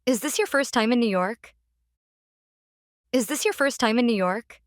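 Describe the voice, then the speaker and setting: A woman reading everyday English phrases clearly, each one twice, for learners. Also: a little high, normal speed, no room sound, studio quality. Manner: clear, careful, even delivery. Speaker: phrase reader.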